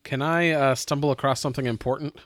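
A man's voice: medium pitch, fairly even intonation, fast talker, a bit bright, no echo, studio quality. A man chatting casually as a player on a role-playing game podcast. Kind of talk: casual tabletop game chat.

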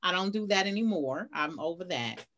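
A woman co-host on a casual live online panel who speaks loudly and playfully and says she has a big voice. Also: lowish voice, animated, fairly quick, a loud crowd.

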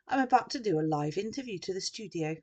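A woman voicing a pushy, snappy female TV news reporter in a storybook, with a forceful delivery. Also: medium pitch, lively intonation, fast, lightly acted. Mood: brusque, dismissive. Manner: forceful, over-bright on-air delivery.

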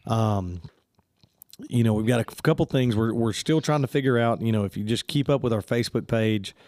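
A man's voice: medium pitch, darkish tone, dry recording, clean studio sound. A man hosting a church podcast, sounding congested and talking casually with many fillers. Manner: friendly, halting with many fillers. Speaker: podcast host.

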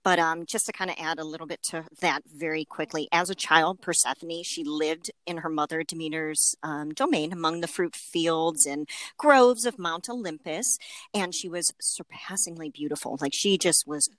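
A playful woman co-hosting a casual podcast, reading passages from a book between jokes. Her voice sits a little low. The recording is dry and close. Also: moderate pace, a bit bright, dry recording. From Italian-American family. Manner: playful, whoops, reads from a book. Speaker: podcast co-host. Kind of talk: casual chat.

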